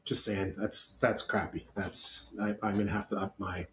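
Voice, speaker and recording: A Canadian man co-hosting a podcast in casual, opinionated chat, blunt and grumbling, often halting and repeating words. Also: sits low, steady tone, fairly bright tone. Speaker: podcast co-host. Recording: clear background noise.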